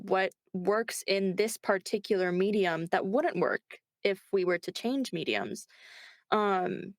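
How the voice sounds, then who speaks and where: A woman's voice: mid-range voice, dry recording. Chatty woman in a dry-sounding interview. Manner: chatty, self-interrupting, reflective. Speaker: voice actor being interviewed. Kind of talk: conversational interview.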